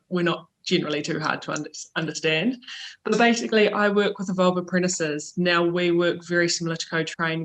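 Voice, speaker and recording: A man with a New Zealand accent, presenting his apprenticeship scheme in a relaxed, chatty way on a video call. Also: fairly high pitch, rough recording. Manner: relaxed, chatty, many fillers. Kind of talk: casual webinar presentation.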